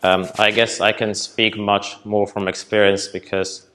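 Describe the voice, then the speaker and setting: Young guy from poland presenting in a hall, low voice, lots of ums. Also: a little slow. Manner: relaxed, hesitant, many ums, light laughs. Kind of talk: conference talk with audience Q&A. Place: festival hall.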